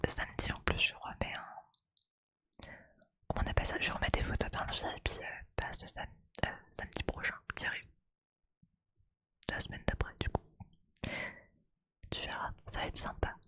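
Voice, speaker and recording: A woman speaks entirely in a soft, unvoiced whisper. She chats informally and playfully, like an ASMR streamer talking to viewers. The voice is breathy and close to the microphone. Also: normal speed, pauses often.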